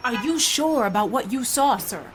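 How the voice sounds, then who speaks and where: A woman's voice: on the high side, expressive, normal speed, a little hiss or hum, lightly acted. A woman playing a firm, authoritative young rookie police officer in a dramatized history reenactment. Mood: calm, official. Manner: authoritative, commanding.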